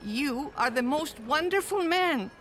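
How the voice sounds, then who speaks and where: An actress playing a grateful, tender nun who gushes with gratitude. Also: female voice, high-pitched, animated, measured pace, fairly bright tone, a little hiss or hum. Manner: gushing, breathy gratitude. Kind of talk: video game cutscene acting.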